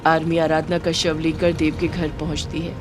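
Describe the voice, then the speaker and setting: A woman's voice: low voice, flat delivery, normal speed. A woman reading scripted storytelling narration for a melodrama. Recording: music bed behind the voice. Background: background music.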